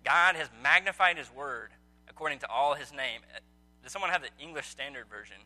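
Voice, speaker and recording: A man's voice: a little high, brisk, sharp tone. A man leading an evening Bible study, talking casually to his group, asking them questions with frequent ums. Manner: conversational, quizzes listeners, frequent ums. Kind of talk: casual teaching talk to a small group. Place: indoor meeting room with a group.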